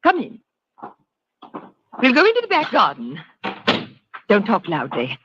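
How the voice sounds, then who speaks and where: A woman's voice: mid-range voice, huge pitch swings, measured pace, noisy background. An actress playing a brash, taunting young woman crook in a 1940s radio drama. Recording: dull, dry old radio recording.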